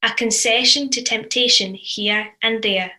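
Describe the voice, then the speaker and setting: A woman's voice: a little high, fairly even intonation, a little slow, bright, forceful tone. A woman reading a scripted devotional reflection as one of a church's readers.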